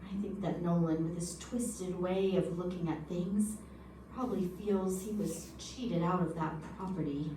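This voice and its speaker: A woman's voice: lowish voice, fairly even intonation, measured pace, dark tone, close to an everyday voice. A woman playing an anxious, earnest man with information to give in an old-time radio drama. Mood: grave, convinced. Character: anxious, earnest male informant fearing for his life. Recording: echoey room, distant mic, background noise.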